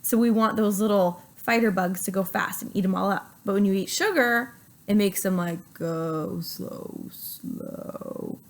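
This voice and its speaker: A woman's voice: mid-range voice, measured pace. A middle-aged woman doctor, feeling unwell, chatting casually and asking her child leading questions for a health video. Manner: coaxing, asks leading questions. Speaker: doctor and host.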